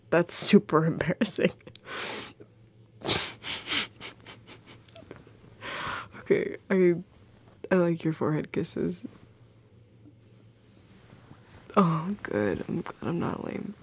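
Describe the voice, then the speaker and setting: A woman playing a playful, affectionate goth girlfriend in an intimate audio roleplay, teasing and tender, with soft breathiness, close on the mic. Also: on the low side, unhurried. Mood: tender, bashful. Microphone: close, dry microphone.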